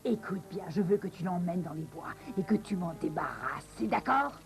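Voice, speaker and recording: A woman's voice: lowish voice, lively intonation, moderate pace, creaky, soft background music. A woman voicing a vain, imperious evil stepmother queen in a French cartoon dub, haughty and commanding. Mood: angry, scheming.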